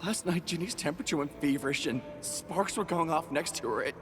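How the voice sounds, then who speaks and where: Man as an earnest, high-voiced young brother. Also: brisk, lightly acted. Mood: worried. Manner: pleading, hesitant. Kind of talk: video game voice acting. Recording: long reverb, faint music behind.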